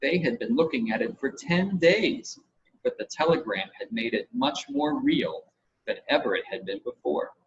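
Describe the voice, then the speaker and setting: Expressive male storyteller, lively pitch. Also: normal speed, creaky, slightly rough. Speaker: audiobook reader. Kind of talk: scripted storybook narration. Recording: dull audio with faint noise.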